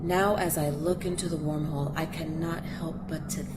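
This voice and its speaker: A woman's voice: deep voice, steady tone, normal speed, natural voice. A woman playing an earnest, intrepid field reporter giving a live report from aboard a spaceship in an audio drama. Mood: uneasy. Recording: noisy spaceship ambience behind voice.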